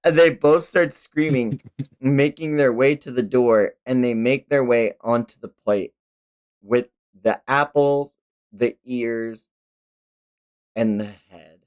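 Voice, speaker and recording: A man's voice: medium pitch, slow pace, darkish tone, clear, resonant tone, poor audio. A man streaming a casual manga read-along, narrating with amused, sweary commentary. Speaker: streamer reading manga aloud.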